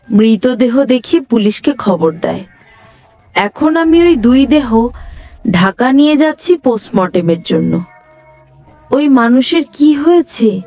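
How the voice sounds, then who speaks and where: A woman narrating a horror story slowly and deliberately. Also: sits high, darkish tone, no echo.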